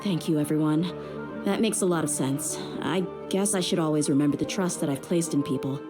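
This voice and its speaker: A woman's voice: low-pitched, a little fast, close to an everyday voice. An actress playing a tough, candid young female mercenary who speaks in a direct, earnest, polite way, over game music.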